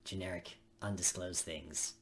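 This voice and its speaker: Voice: low voice, steady tone, measured pace, a bit bright, husky, pitched below the performer's own voice, exaggerated voice. A woman voicing a chatty, blokey young friend in a visual novel, teasing and full of Australian slang. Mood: guarded.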